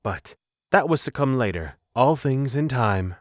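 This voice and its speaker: A man's voice: mid-range voice, lively intonation, a little slow, no echo, studio quality. A man narrating a scripted fantasy story in the third person as its narrator.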